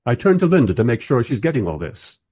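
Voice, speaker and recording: A man's voice: mid-range voice, sing-song, fast, darkish tone. A man playing a polite, inquisitive interviewer in a radio play, asking courteous questions and narrating with wry asides. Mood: wry, observant.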